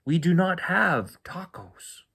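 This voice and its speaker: A stuffy, snooty storybook waiter, acted by a man, with formal, precise speech. He is haughty, with expressive turns of pitch. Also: slow, gravelly, husky, full character voice. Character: stuffy, snooty male waiter at fancy restaurant.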